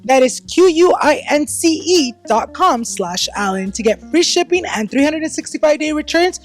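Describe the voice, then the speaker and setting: Woman host, higher voice, easy encouraging interviewer, faint music behind. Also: lively intonation, moderate pace. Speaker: podcast host. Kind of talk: casual interview and ad read.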